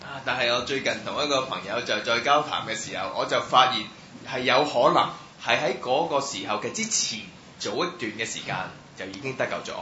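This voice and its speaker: A man interpreting a testimony line by line at church, halting with many fillers. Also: medium pitch, lively intonation, sharp tone. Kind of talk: live sentence-by-sentence translation. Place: church hall. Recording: roomy, muffled hall sound with faint noise.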